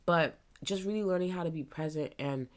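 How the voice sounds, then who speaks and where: A young woman in her twenties with a stuffy nose, hosting a casual solo podcast and thinking out loud as she rambles. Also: fairly low pitch, a little slow. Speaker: podcast host.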